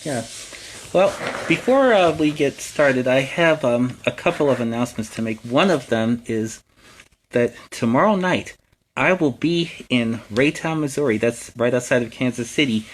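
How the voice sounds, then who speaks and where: A man's voice: mid-range voice, lively intonation, moderate pace, low-quality recording. An American man hosting a story time for young viewers as a friendly superhero, speaking in an earnest, instructive way with simple phrasing. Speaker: storytime show host in character as a superhero.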